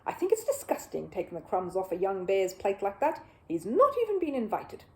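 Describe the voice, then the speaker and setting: A stern storybook housekeeper, played by a woman reader, brisk and sharp-tongued. Her scolding moves up and down emphatically, protective underneath the bite. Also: medium pitch, huge pitch swings. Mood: disgusted.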